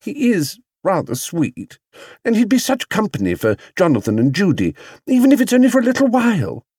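Guy doing a kindly bossy wife in a kids' story, pitched way up, super swoopy and pleading. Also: wildly swooping pitch, fairly quick, a little mellow, husky, no room sound, studio quality, exaggerated voice. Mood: firm, pleading. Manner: exclaiming, appealing, firm. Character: warm-hearted, firm, persuasive middle-class English wife.

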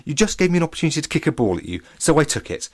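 Man playing a cheeky, brash, high-pitched schoolkid. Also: big pitch swings, racing, no room sound, clean studio sound. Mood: teasing.

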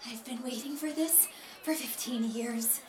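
A woman's voice: fairly high pitch, measured pace, reverberant, music in the background, close to an everyday voice. A young woman acting an obsessive, giddy, scheming fifteen-year-old girl in love, gushing and intense, in a radio play. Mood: dreamy, eager. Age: young adult.

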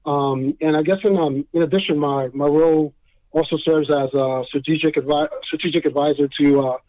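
A man's voice: fairly high pitch, fairly even intonation, fairly quick, no room sound. A man from New York with a Dominican family background, a podcast guest talking casually with many fillers and restarts. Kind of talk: casual interview chat. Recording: tinny phone-like line.

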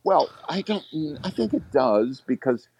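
A man's voice: medium pitch, sing-song, a little mellow, husky, dull audio. A man co-hosting a podcast chat, voicing blunt opinions and often restarting his sentences. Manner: opinionated, halting with repeated restarts. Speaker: podcast co-host. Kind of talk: casual panel discussion.

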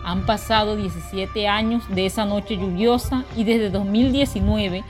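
Lady narrator for a radio drama, serious measured reading, normal pitch, music behind her. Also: steady tone. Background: clear music.

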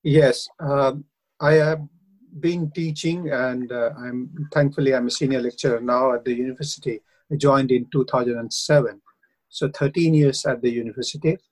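A man's voice: medium pitch, steady tone, moderate pace, poor audio. Earnest Indian-accented man, lots of fillers. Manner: earnest, hesitant, many uh fillers. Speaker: councillor and university lecturer. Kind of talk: radio interview.

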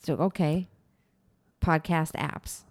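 A woman's voice: deep voice, fairly even intonation, slow. A woman co-hosting a podcast in casual chat, with a soothing voice and a self-deprecating, laughing manner. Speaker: podcast co-host.

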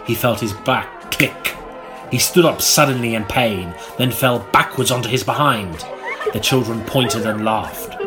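A man narrating an audiobook in a theatrical, melodramatic style, with dramatic pauses. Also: mid-range voice, music in the background.

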